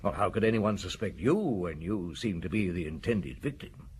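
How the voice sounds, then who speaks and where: A stern, relentless police inspector with a low male voice. He is blunt, commanding and sure of his accusations. It is audio drama, with clear noise on the recording. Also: animated, moderate pace, a little mellow. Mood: relentless, accusing. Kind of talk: audio drama acting, interrogation and narration.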